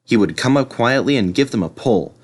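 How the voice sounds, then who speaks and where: A man's voice: medium pitch, expressive, a little fast. A man narrating in the manner of a children's storybook reader. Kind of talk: storybook narration and spoken video introduction.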